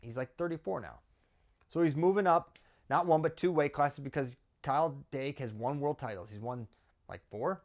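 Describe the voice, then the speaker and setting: A man's voice: a little high, normal speed. American man talking through sports analysis. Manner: argues through rhetorical questions and tag questions. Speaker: wrestling commentator and host. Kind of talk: conversational sports analysis to camera.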